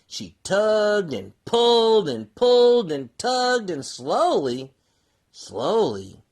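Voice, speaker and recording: A woman narrating a children's storybook expressively, with drawn-out emphasis on key words. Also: mid-range voice. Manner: slow, animated, drawn-out emphasis. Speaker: storybook reader.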